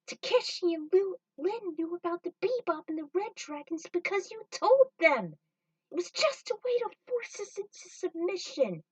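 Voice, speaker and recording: A woman's voice: very high-pitched, sing-song, moderate pace, no echo, recorded from a distance, muffled recording, pitched above the performer's own voice. A woman voicing a defiant bounty hunter hero in a story, indignant and emphatic.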